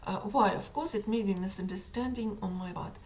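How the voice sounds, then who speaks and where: A woman with a strong Russian accent and Russian as her native language, reading out a numbered list of objections in a halting, methodical and pointed way, with many fillers. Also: medium pitch, far from the mic, a little hiss or hum. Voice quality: halting, many fillers, careful deliberate phrasing. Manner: methodical, pointed, slightly sarcastic. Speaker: complainant reading a list of errors.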